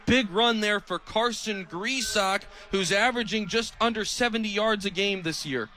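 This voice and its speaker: A young American man calling live football play-by-play on radio, speaking in crisp, clipped phrases. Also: sits high, normal speed, slight background noise. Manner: crisp, clipped phrases that build to excited calls on big plays. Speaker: play-by-play radio sports announcer.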